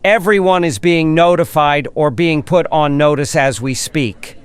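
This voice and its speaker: A man's voice: a little high, a little slow, clean tone, no echo, close to an everyday voice. A man playing a flustered breaking-news announcer, stalling and repeating himself during a live emergency broadcast in an audio drama. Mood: flustered, urgent.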